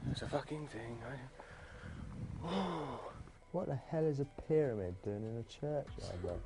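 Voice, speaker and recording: A man talks in a blunt, jittery, sweary way, his voice sitting at an ordinary pitch. Loud music plays clearly under everything he says. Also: sing-song, a little slow. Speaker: ghost-hunt team member. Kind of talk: casual chat. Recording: music playing under the talk.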